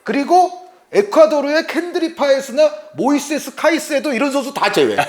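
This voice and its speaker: A man's voice: extremely high pitch, sing-song, normal speed. A man hosting a football talk show, explaining things in wordy detail to his co-commentator and the viewers.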